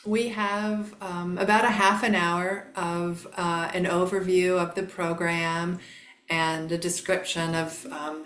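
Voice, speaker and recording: A woman hosting an online presentation, speaking gently with frequent hesitations and fillers. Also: on the low side. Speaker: program host. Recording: echoey room.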